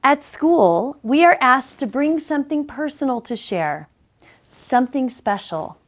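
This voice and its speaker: A woman reading a children's picture book aloud in a deliberate, measured way with dramatic pauses. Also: high voice, expressive. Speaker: story reader, school superintendent.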